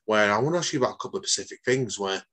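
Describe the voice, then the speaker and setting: Fast, husky middle-aged male host. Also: on the low side, lively intonation, a bit bright. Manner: rambling, leans on "obviously" and "like I said". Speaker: podcast host. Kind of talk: casual interview chat.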